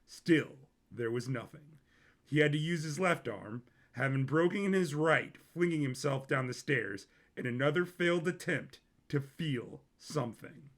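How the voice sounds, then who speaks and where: A man's voice: mid-range voice, creaky, bright, forceful tone. A man reading scripted, dramatic story narration.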